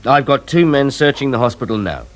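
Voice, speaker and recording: A world-weary British detective inspector played by an actor in a radio play, dry and curt. His mid-pitched voice moves up and down a lot. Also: sing-song, a little fast, background noise, lightly acted. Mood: dismissive. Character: weary, wry male police inspector. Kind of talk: British radio drama acting, with narration.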